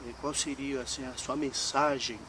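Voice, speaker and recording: A man's voice: medium pitch, steady tone, moderate pace, background noise. A Brazilian man interviewing, speaking formally and at length in legal terms. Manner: formal, long-winded, legalistic phrasing. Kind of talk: interview questions.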